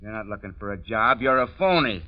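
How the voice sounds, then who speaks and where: An actor playing a rough, jumpy small-time crook with slangy, blustering tough talk in a 1940s radio drama. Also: male voice, lowish voice, animated, brisk, lightly acted. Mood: blustering, suspicious. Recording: dull lo-fi old radio recording.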